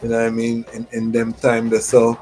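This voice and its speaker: Casting: man from Jamaica raised in Toronto, interviewer, relaxed chatty delivery, mid pitch. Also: brisk, faint music behind, rough recording. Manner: relaxed, repeats "you know what I mean". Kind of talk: casual interview chat.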